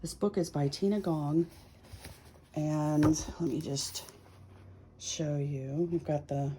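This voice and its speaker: A woman's voice: sits low, steady tone, slow, dark tone, slight background noise. A woman hosting a tarot reading, chatting casually and thinking aloud in short, musing phrases. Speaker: tarot reader hosting a video series.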